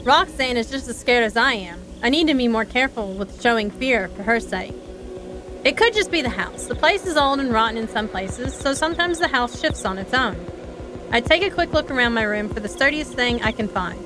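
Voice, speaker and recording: A woman's voice: fairly high pitch, expressive, a little fast. A woman streamer casually commenting on a visual novel and reading its lines aloud. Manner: casual, playful asides. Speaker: streamer, VTuber. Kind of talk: live game commentary mixed with reading dialogue aloud. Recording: background game music.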